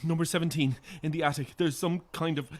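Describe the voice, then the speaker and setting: A woman's voice: low-pitched, steady tone, fairly quick, noisy background, natural voice. An actress in a horror audio drama playing a frightened, unravelling woman, anxious and moving between whispers and cries. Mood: panicked. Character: frightened, unravelling woman trapped on an empty housing estate.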